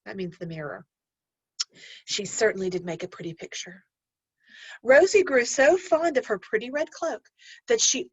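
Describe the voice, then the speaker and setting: Female primary teacher for storybook read-aloud, lively swooping delivery, instructive, on a dull, dry lo-fi recording. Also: mid-range voice, dry recording. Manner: expressive, instructive, addressing children directly. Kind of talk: read-aloud lesson to young learners, storybook narration.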